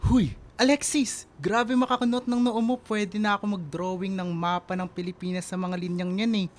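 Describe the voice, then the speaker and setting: Casting: actor for playful young male best friend, radio drama, medium pitch, teasing and exclamatory banter. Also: steady tone, normal speed, close to an everyday voice. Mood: teasing, upbeat.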